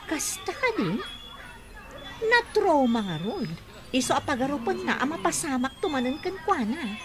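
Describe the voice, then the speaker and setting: A woman's voice: sits high, sing-song, normal speed, over music. An actress playing a hardworking young woman who talks back earnestly.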